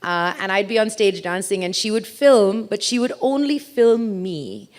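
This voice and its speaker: A woman's voice: mid-range voice, normal speed. Chatty woman with a mixed accent. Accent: mixed international English, from a childhood abroad. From India. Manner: chatty and self-mocking, with many fillers. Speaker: speaker. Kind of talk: motivational stage talk. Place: stage talk to a live audience.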